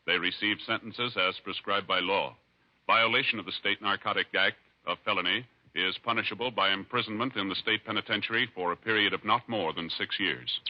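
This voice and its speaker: A man's voice: lowish voice, brisk. An American man reading formal scripted announcements in a 1950s radio style. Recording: muffled 1950s radio recording. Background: music.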